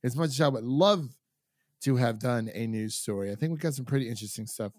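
A man's voice: medium pitch, fast, darkish tone, dry recording, studio quality. A man hosting a film review podcast, chatting in an informal, enthusiastic, rambling way. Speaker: podcast host. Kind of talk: casual film review chat.

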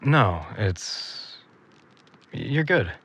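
A man playing a gentle, shy young man in an intimate audio drama, speaking quietly and hesitantly. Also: medium pitch, big pitch swings, drawn-out words, breathy edge, no room sound, exaggerated voice.

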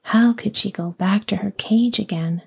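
Woman whispering a sleep story real slow, breathy, dark mellow tone with a little gravel. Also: medium pitch. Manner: slow, soft and soothing. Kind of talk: whispered bedtime story reading, ASMR.

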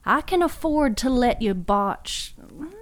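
A woman's voice: on the high side, expressive, slow pace, voice pushed up. Woman voicing a patient, teasing woodland storykeeper. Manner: folksy, patient, gently teasing. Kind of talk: fiction podcast character dialogue.

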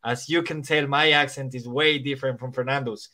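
A man's voice: mid-range voice, fairly even intonation, brisk, sharp tone. A man whose first language is Spanish, speaking English with a Spanish accent and some Irish and American touches, an English teacher chatting casually on a podcast, rambling with restarts. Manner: rambling, many restarts and fillers.

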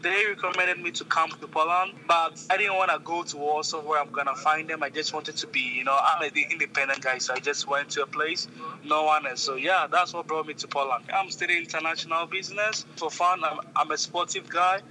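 Man from ghana, relaxed chatty student, very bright voice, slight background noise. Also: on the high side, brisk, rough recording. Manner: relaxed, chatty, uses "okay" and "you know".

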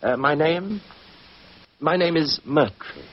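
Husky, sly criminal mastermind. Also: male voice, a little high, lively intonation, slow pace, dry recording. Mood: smug, taunting. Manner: mock-courteous, drawling, taunting. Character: sly, smug male criminal mastermind, politely menacing. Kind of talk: 1940s radio acting. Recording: muffled 1940s radio broadcast.

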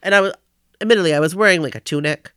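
A woman's voice: fairly low pitch, racing, fairly bright tone, clear, resonant tone, dry recording, studio quality. A woman co-hosting a casual podcast, telling anecdotes and laughing often. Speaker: podcast co-host. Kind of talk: casual chat. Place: basement room under an air conditioner.

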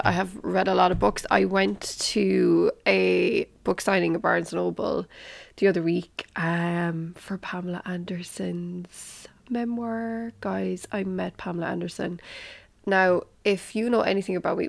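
Woman hosting a chatty solo podcast, hoarse from a cold, heavy vocal fry. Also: on the low side. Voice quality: gravelly, croaky. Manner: rambling, chatty, many fillers. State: croaky from a cold. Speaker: podcast host. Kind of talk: casual solo chat. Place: New York.

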